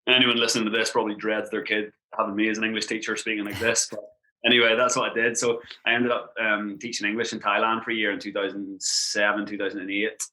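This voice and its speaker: An Irish man in his thirties, a podcast guest telling travel and football stories in quick, animated casual chat with lots of "like" fillers. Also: fairly low pitch, poor audio. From Ireland.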